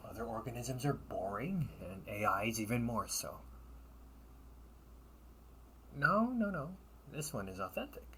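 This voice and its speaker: A man's voice: on the low side, a bit bright, a little hiss or hum. A man playing a sly, wealthy older brother in a sci-fi audio roleplay, with theatrical, formal, teasing delivery. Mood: smug, defensive. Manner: theatrical, formal wording with teasing chuckles and drawn-out pauses. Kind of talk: solo audio roleplay addressed to the listener.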